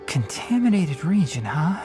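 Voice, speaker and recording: An actor playing a young forest ranger in a game, blunt and lecturing, no-nonsense. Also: male voice, fairly high pitch, sing-song, a little slow, music in the background. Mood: stern. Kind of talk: video game voice acting.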